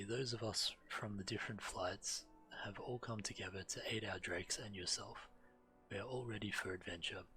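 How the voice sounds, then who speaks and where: Deep-voiced man streaming a game. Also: bright, forceful tone, poor audio. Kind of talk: reading quest text aloud and casual game commentary.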